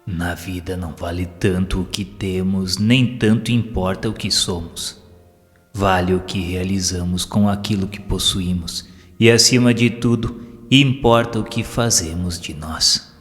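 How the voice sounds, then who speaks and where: Low-voiced Brazilian male storyteller in heavy reverb. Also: a little slow, fairly bright tone. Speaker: narrator of a spiritist audio story. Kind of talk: scripted inspirational storytelling, audiobook narration. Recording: long reverb, music behind. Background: music.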